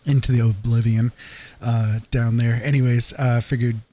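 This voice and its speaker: A man's voice: mid-range voice, level tone, normal speed, warm tone, slight background noise. A man hosting a late-night livestream from his rural home, chatting casually with viewers, rambling with many fillers and frequent laughs. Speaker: livestream host.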